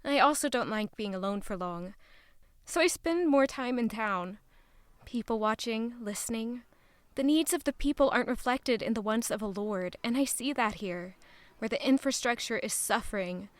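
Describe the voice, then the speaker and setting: A woman's voice: fairly high pitch, expressive, normal speed, no room sound, lightly acted. A woman playing a thoughtful young royal who narrates her own story in an audio drama. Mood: reflective.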